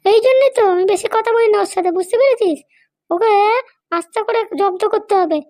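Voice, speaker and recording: A performer voicing a scheming, haughty woman politician in a cartoon comedy, bossy, scolding and fond of sharp questions. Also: very high voice, brisk, no room sound, clean studio sound. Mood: spiteful. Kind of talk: amateur cartoon comedy dialogue.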